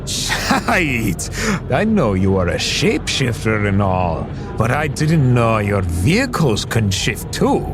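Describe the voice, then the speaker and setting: A man's voice: on the low side, wildly swooping pitch, takes it slowly, heavily acted. A man acting in an audio drama as a bitter, blunt, cursing traveller, with music under him. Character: bitter, foul-mouthed male traveller who despises the English.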